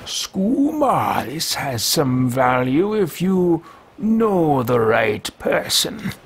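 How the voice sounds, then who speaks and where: Man voicing a pompous, lilting, slow-talking greedy mage musing aloud; home recording. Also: on the high side, very animated, husky, heavily acted. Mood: haughty. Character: haughty male mage-thief, self-talking, greedy. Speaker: let's play host. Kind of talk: in-character game roleplay. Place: home room with bookshelves.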